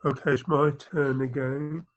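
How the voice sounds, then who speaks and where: A man reading his poem over a video call with unhurried, drawn-out phrasing, dull lo-fi audio. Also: medium pitch, level tone. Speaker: poet reading. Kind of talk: slow poetry reading.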